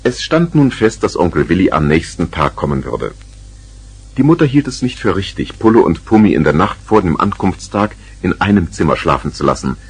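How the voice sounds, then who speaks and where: A man's voice: low voice, big pitch swings, brisk, husky. A man narrating a 1970s children's radio play as a scripted storyteller.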